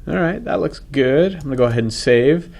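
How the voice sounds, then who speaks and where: A man with a head cold, talking casually through a software tutorial and correcting himself as he goes. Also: a little high, expressive, fairly quick, creaky. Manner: casual, thinks aloud, self-correcting. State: congested from a cold. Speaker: software instructor. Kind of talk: screen-recorded software tutorial.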